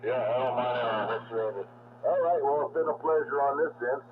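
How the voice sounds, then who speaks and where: A man's voice: mid-range voice, brisk, over a noisy crowd. An American man replying casually as a CB radio operator, his voice coming through a radio transmission. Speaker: CB radio operator answering calls. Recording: very noisy, narrow, static-filled CB reception.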